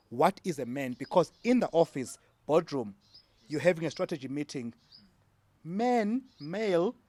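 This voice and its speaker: Emphatic South African man, higher voice. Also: a little mellow, no room sound. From Eastern Cape, South Africa. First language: Xhosa. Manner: emphatic, repeats key points for force. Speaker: discussion panelist. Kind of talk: casual debate.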